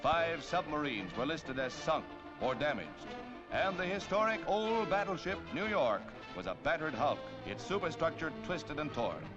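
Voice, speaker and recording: High-pitched American 1940s newsreel man, dramatic. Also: big pitch swings, normal speed, over music. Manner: dramatic, declamatory. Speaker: newsreel narrator. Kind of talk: 1940s newsreel narration, scripted. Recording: dull, band-limited 1940s newsreel soundtrack.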